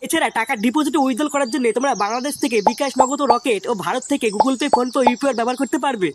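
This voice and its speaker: Very fast high-pitched woman reading an ad. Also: slight background noise. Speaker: promoter reading a sponsor ad. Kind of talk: scripted advertising read.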